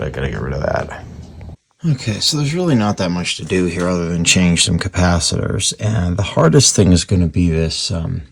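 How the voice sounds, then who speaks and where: A man giving casual, hands-on repair commentary in a gravelly, husky voice, thinking aloud informally as he works. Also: sits low. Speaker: radio repairer presenting his restoration.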